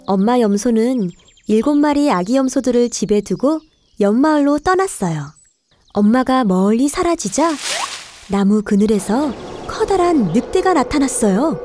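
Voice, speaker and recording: A woman narrating a children's fairy tale, telling it clearly for young listeners. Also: a little high, lively intonation, normal speed, background noise. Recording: faint music behind the voice.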